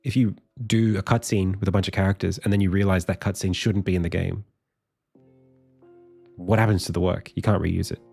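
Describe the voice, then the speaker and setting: A man streaming his game development, thinking aloud in a casual way with lots of fillers and restarts. His voice is deep and croaky, and he sounds tired. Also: little pitch movement, fairly quick, darkish tone, soft background music. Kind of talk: casual live stream talk.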